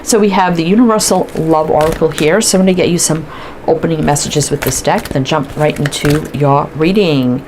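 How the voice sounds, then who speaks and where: A woman's voice: sits low, brisk, a little mellow, slight background noise. A woman doing a casual tarot reading, chatting and thinking aloud as she pulls cards, stuffy and sniffling from allergies. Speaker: tarot reader.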